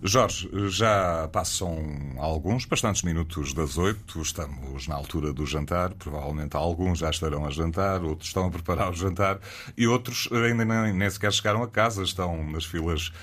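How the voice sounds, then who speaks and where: Male radio host, European Portuguese accent, very deep voice, easygoing interviewer, studio mic. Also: a bit bright. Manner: easygoing, conversational, with filler pauses. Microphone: studio microphone.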